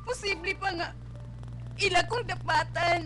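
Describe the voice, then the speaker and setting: Very high-pitched, distraught young daughter. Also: female voice, measured pace, a bit bright, a little hiss or hum. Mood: anguished, tearful. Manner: tearful, pleading, emphatic. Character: distraught, defiant young pregnant daughter in love. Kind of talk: melodramatic Philippine radio drama acting. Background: dramatic music.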